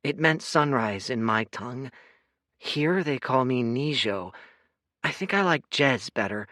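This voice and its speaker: A man voicing a young orphaned boy in an audiobook, soft and trembling. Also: mid-range voice, lively intonation, unhurried, dry recording, studio quality, pitched above the performer's own voice. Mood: thoughtful. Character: orphaned desert boy, mournful, strange.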